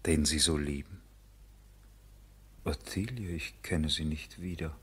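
An actor playing a courteous, earnest, quietly lovestruck architect with a breathy, hushed voice and formal, tender delivery in a 1970s radio drama. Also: male voice, very deep voice, takes it slowly, exaggerated voice. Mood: ardent, pleading.

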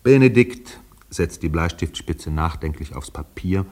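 An actor narrating a radio play as a thoughtful, wry storyteller. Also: male voice, low-pitched, expressive, a little slow, a little mellow. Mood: wry, reflective.

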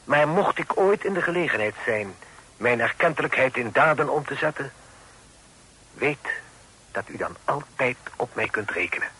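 A man's voice: mid-range voice, animated, moderate pace, bright, forceful tone. An actor playing a courteous, worried middle-aged father who speaks solemnly and formally in an old radio drama. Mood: solemn, grateful. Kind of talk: vintage Dutch radio drama acting. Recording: noisy old radio play recording.

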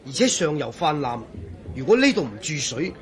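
An animated, higher-voiced male engineer. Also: normal speed. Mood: insistent. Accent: Hong Kong Cantonese. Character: earnest, regretful male engineer in charge of waterworks. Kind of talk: radio drama acting.

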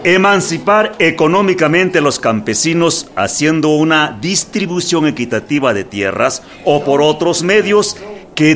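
An actor playing an officious delegate formally proclaiming conference resolutions in a radio drama. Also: male voice, on the high side, fairly bright tone.

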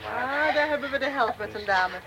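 An actress playing a brisk, caring but impatient policewoman in a naturalistic radio play, direct and down-to-earth. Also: sits high, expressive. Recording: radio drama with background noise.

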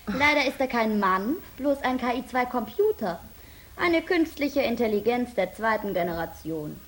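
Grumbling, high-voiced space worker. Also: female voice, expressive, moderate pace, a bit bright, poor audio. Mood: grumbling, sarcastic. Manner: griping, sardonic. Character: grumbling, sarcastic young female space worker. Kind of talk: science fiction satire radio play.

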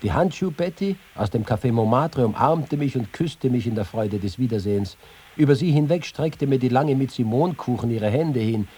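A man's voice: medium pitch, lively intonation, normal speed, warm tone, husky. An actor voicing a wry young reporter-writer reading his own letters and memories in a radio feature. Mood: amused recollection.

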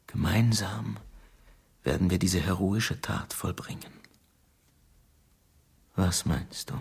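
An actor playing a boastful, seductive and fanatical Soviet security chief in a radio drama, theatrical in manner. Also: male voice, gravelly, husky, exaggerated voice. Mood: hushed, urging. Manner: theatrical, swinging from booming to hushed.

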